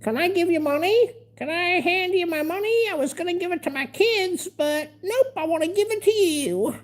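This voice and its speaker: A man putting on a mocking high voice of a fawning fan who begs to give money away. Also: expressive, moderate pace. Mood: mocking, eager. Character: fawning fan begging to hand over money.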